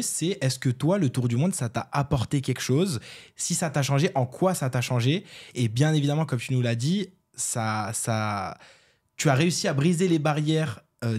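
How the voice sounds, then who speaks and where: A man's voice: mid-range voice, a little mellow, slightly rough. A man from France, speaking casually and conversationally as an interviewer.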